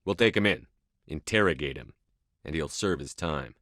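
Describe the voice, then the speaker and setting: An actor playing a stern, steady human military commander in a sci-fi video game, clipped, authoritative and matter-of-fact. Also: male voice, extremely low pitch, moderate pace, dry recording, clean studio sound. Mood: firm. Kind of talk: video game dialogue acting.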